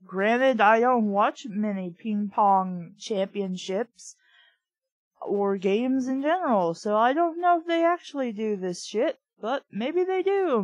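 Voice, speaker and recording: A young adult woman hosts a casual read-aloud with her own commentary. Her delivery is blunt and opinionated, at an unhurried pace. The sound is dry and close, with no room echo. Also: a little high, muffled recording. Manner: blunt, opinionated, swears freely. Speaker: host reading a children's book aloud with commentary.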